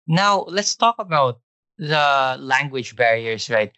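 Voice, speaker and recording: Bright-toned male podcast host. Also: mid-range voice, lively intonation, takes it slowly, no echo. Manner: encouraging, frequent short backchannels. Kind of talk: casual interview.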